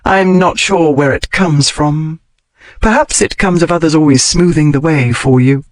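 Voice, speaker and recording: A man voicing a blunt, logical lady of a bygone era, speaking in crisp, formal phrases for an audiobook. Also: normal speed, no room sound, natural voice. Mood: brisk. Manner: crisp, formal period speech rising in pitch. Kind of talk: audiobook character reading.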